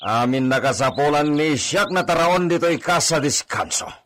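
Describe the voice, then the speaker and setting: A man's voice: fairly high pitch, moderate pace, natural voice. A man with an Ilocano Filipino accent playing a harsh, domineering rancher in a radio drama, scolding imperiously. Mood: commanding, curt. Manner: imperious, loud outbursts, scolding. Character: harsh, domineering rancher master berating his servants.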